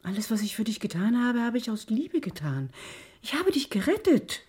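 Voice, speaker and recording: A woman's voice: a little high, very animated, moderate pace, a little mellow, breathy edge. An actress playing a steadfast, reproachful older foster mother in a German radio drama, speaking firmly and insistently. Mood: insistent, hurt.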